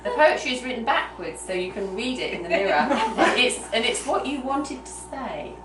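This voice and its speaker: A woman's voice: a little high, expressive, moderate pace, over a noisy crowd. A woman reading a story aloud in a live storytelling style, recorded in a room at a small gathering. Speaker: reader. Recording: noisy roomy live recording, distant mic.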